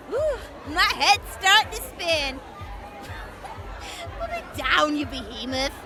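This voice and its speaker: A woman voicing a brash, tipsy young woman who teases loudly in an audio drama. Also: very high-pitched, wildly swooping pitch, extremely slow, over music, crowd noise, heavily acted. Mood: tipsy teasing. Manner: loud, teasing, swings up and down.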